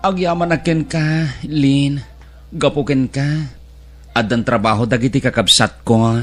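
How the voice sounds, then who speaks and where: A man voicing a humble, tired worker abroad in an audio drama, with an expressive, sighing delivery. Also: medium pitch, unhurried, faint music behind, natural voice. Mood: grateful. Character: humble man working abroad, weary and grateful.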